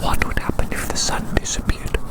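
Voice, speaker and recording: Someone whispering softly and slowly to you as their little creature in an outdoor ASMR video, repeating each gentle tease as they shelter you in a city park. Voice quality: soft, breathy unvoiced whisper. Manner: slow, repeats phrases, gently teasing. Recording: close whisper, windy outdoor recording.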